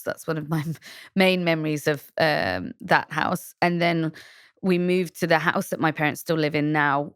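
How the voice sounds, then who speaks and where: A woman from Shropshire with a low, smooth and resonant voice, recorded dry and close. She answers interview questions in a thoughtful, meandering way, full of "um"s and restarts as she gathers her thoughts. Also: fairly bright tone, dry recording. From England, Shropshire. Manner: reflective, thoughtful, many fillers and restarts. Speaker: designer guest.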